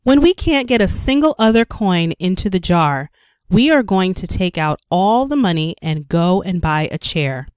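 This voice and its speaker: A woman reading a children's story aloud as a storyteller, with careful, expressive narration for young children. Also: lowish voice, normal speed, smooth, no room sound. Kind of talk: scripted read-aloud narration.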